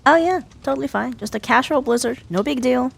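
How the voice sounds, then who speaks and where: A woman playing a sharp-tongued young female rival in an ASMR roleplay, huffy and sarcastic but grudgingly caring. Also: high voice, gravelly, slightly rough, full character voice. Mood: exasperated, mocking. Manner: sarcastic, huffy, theatrical sighs and drawn-out jabs. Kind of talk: ASMR roleplay audio drama addressed to the listener.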